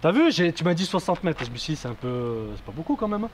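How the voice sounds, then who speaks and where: A man's voice: on the high side, very animated, quick pace. A man giving a casual product review chat in informal, slangy speech full of verbal tags. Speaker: video creator reviewing gear. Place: outdoors by a street. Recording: outdoors with faint traffic noise.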